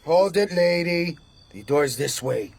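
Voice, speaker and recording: An actor playing a menacing, curt and crude gangster assassin in a radio drama. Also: male voice, fairly high pitch, lively intonation, slow pace, creaky, full character voice. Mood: brusque, contemptuous.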